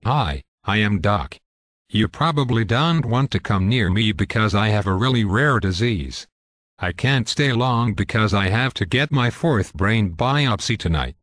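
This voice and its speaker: Man as a gloomy storybook dwarf, lowish voice, anxious, matter-of-fact, dry sound. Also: expressive, unhurried, a bit bright, no room sound. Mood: worried. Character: gloomy hypochondriac male fairytale dwarf, anxious. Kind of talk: amateur storybook acting.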